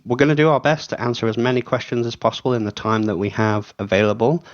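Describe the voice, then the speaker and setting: Guy hosting a voice chat, kinda low voice, dull muffled audio, lots of ums. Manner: casual, many fillers, asks long questions. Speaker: host. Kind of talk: interview hosting. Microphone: remote voice chat.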